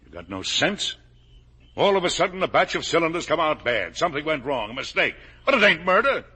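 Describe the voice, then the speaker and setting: A man's voice: fairly high pitch, very animated, fairly quick, bright, forceful tone, slightly rough, full character voice. An actor playing a blustering, defensive middle-aged American factory owner and father in emphatic 1950s radio drama style. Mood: heated, defensive. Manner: emphatic, colloquial, insistent. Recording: old radio drama broadcast.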